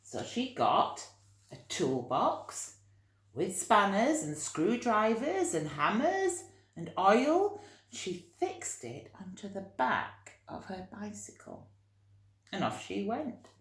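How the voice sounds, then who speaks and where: A woman reading a picture book aloud to young children, expressive, with chatty asides and gentle explanations. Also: mid-range voice, slow pace. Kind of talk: animated read-aloud storytelling with chatty asides. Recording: roomy, echoey room sound.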